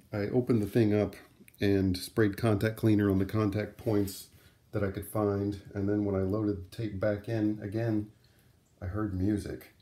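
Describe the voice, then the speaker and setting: A man's voice: lowish voice, dark tone. A middle-aged man giving a casual, unscripted demonstration, talking conversationally and thinking aloud as he handles things. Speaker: vlogger, vintage audio hobbyist. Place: room at home, indoors.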